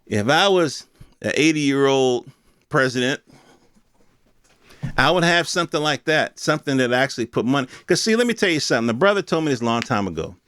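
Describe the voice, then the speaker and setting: A man's voice: medium pitch, animated, fairly quick, a bit bright, clear, resonant tone, no echo, studio quality. An American man in his fifties giving a casual, opinionated solo monologue in emphatic, slangy, conversational speech. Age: 50s. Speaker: podcast host.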